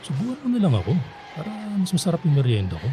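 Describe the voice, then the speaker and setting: A man's voice: on the high side, wildly swooping pitch, racing, background noise. A man acting a hesitant, tender older uncle figure and secret lover in a Filipino radio drama, with a Filipino accent. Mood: playful, coaxing. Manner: gentle, hesitant, coaxing. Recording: soft music underneath. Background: background music.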